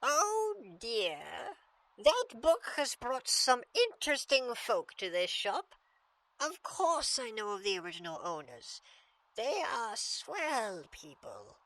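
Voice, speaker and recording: Sinister old female shopkeeper, highish lilting voice, slow, sly, condescending, horror audio drama. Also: huge pitch swings, a bit bright, dry recording, full character voice, poor audio. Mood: sly, coy.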